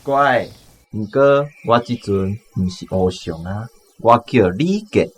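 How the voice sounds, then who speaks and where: An actor playing a genteel silent-film narrator visiting an old army friend in a radio drama, jovial, with a Taiwanese accent. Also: male voice, on the low side, slow pace, breathy edge. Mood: jovial, proud.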